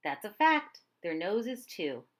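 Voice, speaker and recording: Lady doing a kindly polite guy in a picture book, folksy, dreamy, measured. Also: medium pitch, big pitch swings, fairly bright tone, heavily acted. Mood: genial. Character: courtly, gentle blind man, dreamy music lover.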